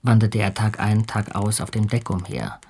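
An actor playing an earnest, ambitious polar explorer and ship's captain in a radio drama, speaking and narrating in a heartfelt, literary way. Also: male voice, lowish voice, fairly even intonation, moderate pace, darkish tone, slightly rough. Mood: reflective, tender.

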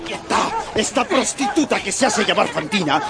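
Contemptuous policeman, gravelly, barking, wildly varied pitch, radio drama. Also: very animated, moderate pace, heavily acted. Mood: scornful, commanding. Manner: barking, scornful. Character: rough, contemptuous policeman restraining a prisoner. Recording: loud music behind the voice.

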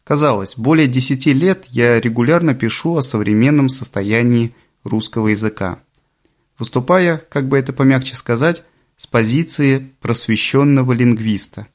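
A man narrates an audiobook in a calm, deliberate way. His voice sits at a middle pitch for a man, and he slows down on the phrases he wants to stress. The delivery stays even and composed throughout.

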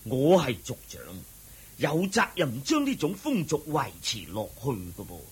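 A man's voice: mid-range voice, huge pitch swings, unhurried, darkish tone, slightly rough, heavily acted. A man playing a stern clan chief in a Cantonese radio drama, formal and pompous, with a Hong Kong accent. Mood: insistent.

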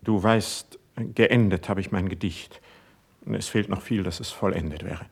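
A sensitive, proud court poet in a classical radio drama. His low, husky voice delivers verse with formal, measured care. He sounds wounded and stubborn beneath the courtly phrasing. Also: full character voice. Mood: earnest, pleading. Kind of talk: classical German stage verse in a radio play.

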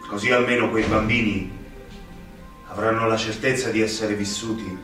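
An actor playing an idealistic young male magistrate in Italian radio theatre, speaking in a solemn, deliberate way. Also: fairly low pitch, fairly even intonation, fairly bright tone, close to an everyday voice. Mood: solemn resolve. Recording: echoey stage sound, distant, music behind.

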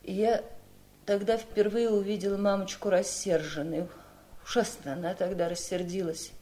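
An actress in a radio drama playing a wistful, nervous gentlewoman who rambles nostalgically in a girlish manner. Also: medium pitch, fairly even intonation, normal speed, close to an everyday voice. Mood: wistful remembering. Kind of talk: Soviet radio drama acting.

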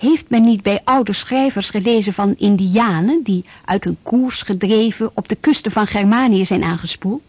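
An actress narrating a historical radio play, formal, measured and rhetorical. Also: female voice, mid-range voice, a little mellow, dry recording, lightly acted. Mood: stately. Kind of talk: scripted narration. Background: music.

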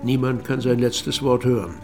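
A man playing a reflective radio drama narrator who tells his account in a measured, cryptic way. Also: medium pitch, darkish tone, soft background music, close to an everyday voice. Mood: measured, enigmatic. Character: reflective male narrator recounting evidence and memories. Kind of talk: scripted radio play narration.

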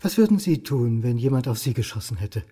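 A man's voice: medium pitch, very animated, brisk, dark tone, slightly rough, dry recording, studio quality. A man acting a brooding, stubborn history lecturer in a naturalistic radio drama, terse and suspicious, with clipped sentences. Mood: pressing. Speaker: actor in radio crime drama.

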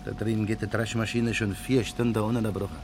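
Low-voiced, timid Silesian bridegroom played by a man. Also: flat delivery, fast talker, close to an everyday voice. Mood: earnest, uneasy. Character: pious, timid, earnest bridegroom longing for quiet. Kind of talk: naturalistic German radio drama acting. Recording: noisy old radio production.

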